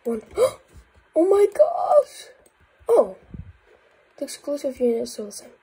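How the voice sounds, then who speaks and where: A woman with a blocked nose and a croaky voice, giving excitable gameplay commentary with frequent whispered asides. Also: high-pitched, measured pace. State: congested, croaky voice. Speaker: gaming YouTuber.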